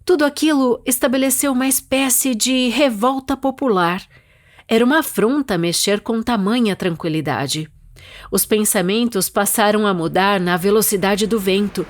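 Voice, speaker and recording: A woman with a Brazilian accent reads her own short story as a dramatized literary narration, with deliberate, expressive phrasing. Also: mid-range voice.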